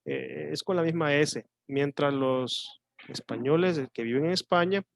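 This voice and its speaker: A male teacher from Mexico with a coastal Mexican Spanish accent, explaining a lesson slowly and thinking aloud with fillers and pauses. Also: a little high, vocal fry, no room sound. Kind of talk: online class lecture.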